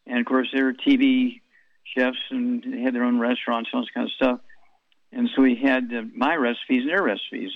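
A man hosting a radio health call-in show, telling rambling stories and firing brisk questions at callers. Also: medium pitch, no room sound, phone-quality sound.

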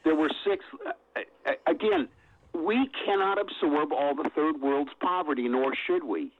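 An older man calling in to a radio talk show, blunt and opinionated, with halting restarts. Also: fairly high pitch. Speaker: caller. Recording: tinny phone line.

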